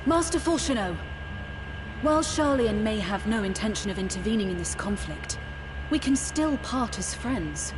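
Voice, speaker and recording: A woman playing a gracious, diplomatic noblewoman in a game cutscene, speaking formally and with measured courtesy. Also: mid-range voice, expressive, noisy background, lightly acted. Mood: courteous, entreating. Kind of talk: dramatic video game cutscene acting.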